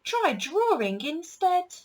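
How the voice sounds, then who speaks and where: A woman's voice: very high voice, big pitch swings, slow, pitched above the performer's own voice, heavily acted. A woman voicing a helpful, adventurous young girl in a children's picture book, coaxing and encouraging.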